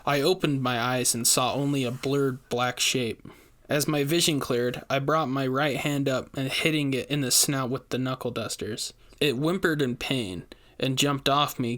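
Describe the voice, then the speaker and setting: A man tells a scripted horror story in the first person. He has a mid-pitched voice and works as a storyteller, speaking as the one it happened to. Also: fairly even intonation, measured pace, a bit bright.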